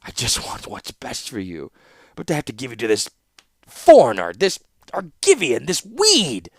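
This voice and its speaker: A man's voice: fairly low pitch, expressive, a little fast, no echo, clean studio sound. A man voicing a furious, bellowing male warlord and proud father who roars his words. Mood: bitter. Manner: roaring, shouting.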